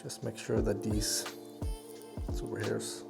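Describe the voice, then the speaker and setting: A man with a Danish accent giving a casual hands-on tutorial, haltingly thinking aloud as he works. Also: fairly low pitch, flat delivery, creaky, loud music behind the voice. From Denmark. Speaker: fly tying instructor and YouTuber.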